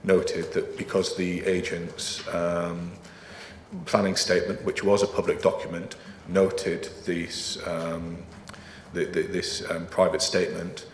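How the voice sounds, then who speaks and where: A man's voice: deep voice, reverberant, big-room sound, a little hiss or hum. A man working as a planning officer, explaining procedure formally and carefully, with frequent ums. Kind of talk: explaining procedure in a council meeting.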